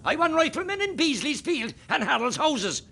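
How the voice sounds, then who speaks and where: Guy doing impatient irish guerrilla commander in a radio drama, sharp creaky voice, giving orders. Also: very high voice, sing-song, moderate pace, a little hiss or hum, full character voice. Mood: forceful, urgent. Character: forceful, impatient Irish rebel column commander briefing his men. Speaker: actor in a radio play. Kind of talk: radio play acting, shouted military orders.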